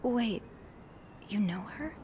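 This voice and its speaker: Hesitant young hotel maid. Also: female voice, on the high side, animated, mellow, a little hiss or hum. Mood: earnest, gentle. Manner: hesitant, halting. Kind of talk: naturalistic video game acting.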